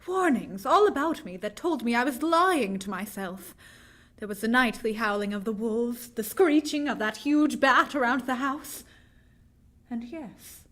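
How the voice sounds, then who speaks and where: An actress playing a frightened young Victorian woman, telling her tale dramatically in a vintage radio drama. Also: high-pitched.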